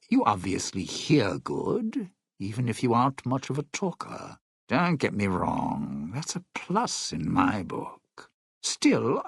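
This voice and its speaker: An actor voicing a suave, bitter, century-old lounge singer in a video game, a sardonic and scheming showman with a raspy voice. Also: lowish voice, no room sound, studio quality, exaggerated voice. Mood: probing, casual. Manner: theatrical, sardonic showman talking in stage metaphors.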